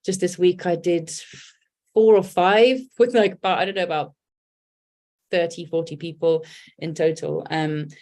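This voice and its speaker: A woman's voice: on the low side, fairly even intonation, a little slow, long pauses, darkish tone. A woman, a poet and writer talking candidly and thoughtfully in an interview, with many restarts. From England. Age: 40s. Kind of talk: reflective conversational interview.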